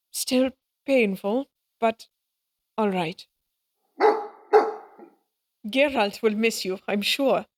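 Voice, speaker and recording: Woman acting unhurried, gentle, lonely woman. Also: fairly high pitch, no echo, natural voice. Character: lonely, sincere, gentle woman, fond and wistful. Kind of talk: dramatic audio roleplay acting.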